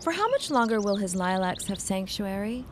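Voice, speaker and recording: A woman's voice: medium pitch, very animated, moderate pace, soft background music, lightly acted. A woman playing a refined grown daughter, wistful and haughty, speaking formally in a period audio drama. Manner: genteel, formal, dismissive.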